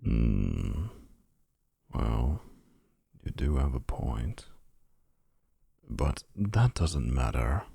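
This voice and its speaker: A man playing a gravelly, smug vampire flirt. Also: very deep voice, very animated, extremely slow, long pauses, warm tone, dry recording, clean studio sound, exaggerated voice. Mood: scornful.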